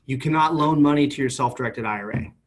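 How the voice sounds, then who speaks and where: Male financial representative, mid-range voice, quick pace, definite and informative delivery in a question-and-answer interview. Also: medium pitch. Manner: matter-of-fact, definite, informative. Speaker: retirement account company representative.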